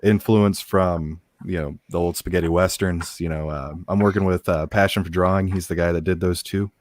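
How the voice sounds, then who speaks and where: A man, a guest comic creator, chatting casually on a podcast in a laid-back, halting way with many fillers. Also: low-pitched, steady tone, no room sound. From English- and German-speaking.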